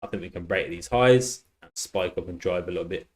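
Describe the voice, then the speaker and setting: A man's voice: low voice, a little fast. A man on a casual live stream, chatty, reading out chat messages and losing his voice. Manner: chatty, reads out chat messages, many restarts. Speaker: livestream trader.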